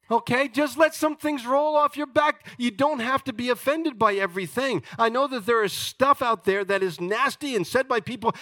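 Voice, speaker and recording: Pastor, man, 60s; high, bright voice, dry recording, emphatic and humorous sermon delivery. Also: no room sound. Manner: emphatic, jokey preaching with wide swings in loudness.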